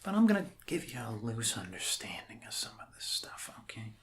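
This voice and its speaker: A man doing an improvised, soft-spoken ASMR roleplay as an awkward, reassuring doctor, rambling hesitantly and apologetically. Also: mid-range voice, very animated, brisk. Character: awkward, unconventional, reassuring doctor welcoming an alien. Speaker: ASMR performer playing a doctor. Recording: clear background noise.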